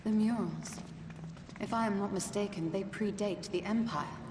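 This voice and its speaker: A woman playing a calm, formal, scholarly woman in a fantasy video game, speaking in a measured, grave way. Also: medium pitch, roomy sound, big-room sound, noisy background, close to an everyday voice. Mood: grave, thoughtful. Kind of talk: dramatic video game cutscene acting.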